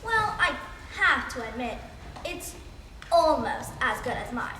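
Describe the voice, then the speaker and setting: A woman's voice: sits high, very animated, a little slow. A young female performer playing catty, giddy soap opera fanatics in an exaggerated comedic school skit. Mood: catty, boastful. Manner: exaggerated, theatrical. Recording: echoey hall, faint noise.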